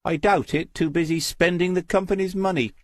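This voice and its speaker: A male narrator acts out an older married woman in a crime audiobook. The voice is lifted well up in pitch and sounds curt, scornful and full of disapproval. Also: steady tone, brisk, no room sound, studio quality, close to an everyday voice. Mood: tart disapproval.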